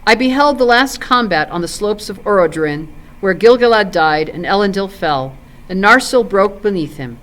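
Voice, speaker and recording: Storybook role: ancient, solemn elf lord played by a woman, stately archaic speech, expressive pitch movement. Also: normal speed, close to an everyday voice. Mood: grave, solemn. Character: grave, ancient male elf lord recalling old wars. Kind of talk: storybook character in read-aloud.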